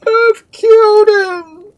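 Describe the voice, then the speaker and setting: Crazed grieving duke, piercingly high. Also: male voice, steady tone, no echo, heavily acted. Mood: anguished frenzy. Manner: piercing, drawn-out shrieks. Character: crazed, grief-stricken duke screaming in agony.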